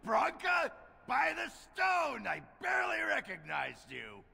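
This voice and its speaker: A man playing a boisterous, crude dwarf warrior in a video game, with a raspy, brash voice. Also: extremely high pitch, wildly swooping pitch, slow, a faint crowd, full character voice. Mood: boisterous surprise. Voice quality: raspy, gravelly shout. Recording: long reverberant hall.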